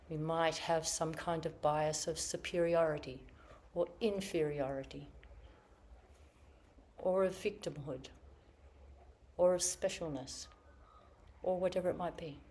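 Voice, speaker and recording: A woman Zen teacher giving a dharma talk in slow, reflective, searching phrases, her voice a little croaky after being ill. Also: low-pitched, darkish tone. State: slightly croaky from illness. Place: meditation hall with online audience.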